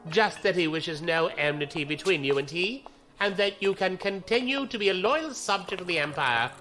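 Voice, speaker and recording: A man's voice: high-pitched, animated, moderate pace, fairly bright tone, soft background music. A man playing a courteous imperial navy commodore in a science fiction audio drama, genteel, diplomatic and formal. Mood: politely commanding.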